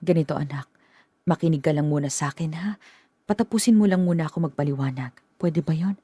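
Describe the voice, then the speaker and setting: Worried mom in a radio drama, low husky voice, dry sound, hesitant and soft. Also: female voice, dry recording. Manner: hesitant, halting, gentle. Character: worried middle-aged mother. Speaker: mother.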